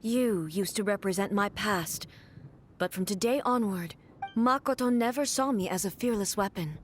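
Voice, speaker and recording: A stern, dutiful warrior puppet from a video game, acted by a woman, talks in a mid-range voice. She is formal, measured and reserved, with faint music behind her. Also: mid-range voice, lightly acted. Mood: resolute, formal.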